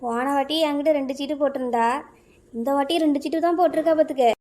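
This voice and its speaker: A woman's voice: high-pitched, fast, dark tone, natural voice. A woman playing a spiteful, gossipy village neighbour in a comic cartoon, carping in a southern Tamil Nadu accent. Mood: spiteful gossip.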